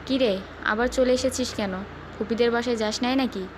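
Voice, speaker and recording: A woman's voice: a little high, fairly even intonation, moderate pace, noisy background, natural voice. A woman playing a protective, scheming elder sister in an audio love-story drama, firm and full of advice. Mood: questioning, scheming. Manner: firm, advising.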